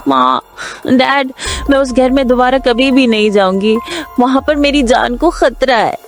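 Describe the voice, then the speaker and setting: A woman's voice: sits high, steady tone, measured pace, creaky. A woman playing a frightened young married daughter, anxious and pleading, in dramatized story dialogue. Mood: frightened, insistent. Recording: background music under voice.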